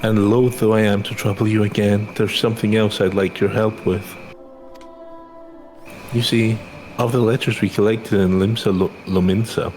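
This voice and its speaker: A man's voice: fairly low pitch, little pitch movement, normal speed, a little mellow, lightly acted. A man playing a polite, earnest young adventurer from a video game, formal and humbly reflective. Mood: grateful. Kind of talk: video game dialogue read aloud in character. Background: game music.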